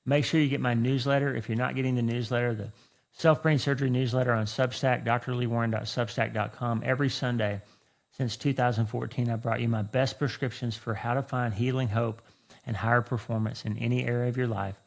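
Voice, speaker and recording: A man hosting a podcast in a conversational, earnest, effusive and folksy way, with a creaky voice. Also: medium pitch, steady tone, a little fast. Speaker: podcast host. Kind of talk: conversational interview and podcast talk.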